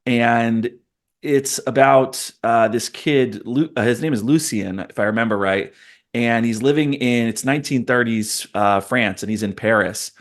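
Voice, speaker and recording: A man in his forties hosts a podcast, musing aloud and drifting into long stories with many fillers. His voice sits at an ordinary middle pitch. He sounds reflective and conversational. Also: unhurried. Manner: thoughtful, rambling, storytelling. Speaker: podcast host. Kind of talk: casual conversation.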